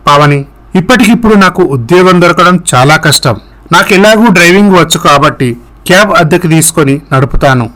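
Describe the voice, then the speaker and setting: An earnest young man in love voiced by a male storyteller, pleading and reassuring in a moral tale. His voice is slightly high for a man. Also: animated, fairly quick, pushed and bright, rough recording.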